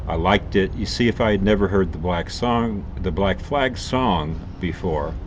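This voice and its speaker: An older man hosting a song reaction, rambling thoughtfully with many pauses and restarts. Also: low-pitched, lo-fi sound, a little hiss or hum. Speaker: reaction video host. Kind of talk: casual music commentary.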